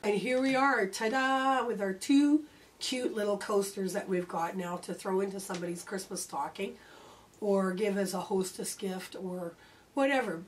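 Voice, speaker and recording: A woman's voice: medium pitch, normal speed, a little hiss or hum. Chatty woman sewing and talking. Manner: chatty, homey, talks while sewing. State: voice going hoarse. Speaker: quilting channel host. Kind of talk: casual sewing tutorial.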